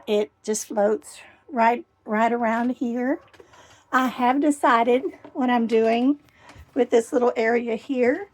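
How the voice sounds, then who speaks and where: A middle-aged woman with a hoarse voice, chatting casually as she gives a tour of her camper van. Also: on the high side, darkish tone. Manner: chatty and enthusiastic, pausing while showing things. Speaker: vlogger. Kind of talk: casual home tour vlog. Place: inside a small camper van.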